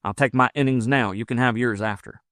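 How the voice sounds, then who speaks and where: A man reading as a bold, lovestruck miner from a frontier storybook. He pushes his words out with emphasis, by turns combative and coaxing, in a medium-pitched voice recorded dry and close. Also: fairly even intonation, a little fast, fairly bright tone, no room sound, natural voice. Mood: defiant. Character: belligerent, ardent gold-rush miner in love, man. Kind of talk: audiobook character dialogue.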